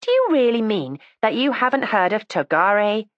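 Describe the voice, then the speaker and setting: A woman's voice: mid-range voice, very animated, moderate pace, no echo, highs cut off, pitched above the performer's own voice, close to an everyday voice. A woman reading the part of a precocious, matter-of-fact teenage schoolgirl who chats candidly and primly. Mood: earnest. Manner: chatty, candid and prim.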